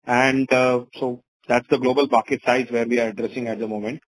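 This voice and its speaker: A man's voice: mid-range voice, level tone, brisk, low-quality recording. A man with an Indian accent answering investors as a company executive on an earnings call, wordy and often saying "you know".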